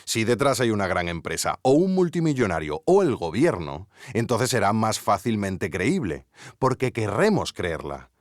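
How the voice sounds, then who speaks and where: A man playing an earnest investigative journalist, narrating a scripted documentary mystery in a deliberate, theatrical way. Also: lowish voice, big pitch swings, no echo, clean studio sound. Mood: intriguing, deliberate. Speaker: podcast narrator and investigative interviewer.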